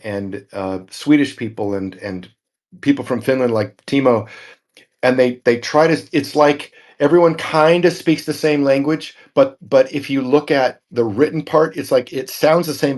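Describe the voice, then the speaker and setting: A man's voice: medium pitch, a little mellow. Rambling male talk-show host. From Norwegian family background. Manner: rambling, repeats words while thinking. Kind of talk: casual tech talk show chat.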